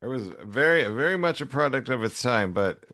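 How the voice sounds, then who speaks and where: A man co-hosting a casual chat, speaking haltingly as he mulls things over. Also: medium pitch, animated, pushed and bright, no room sound, studio quality.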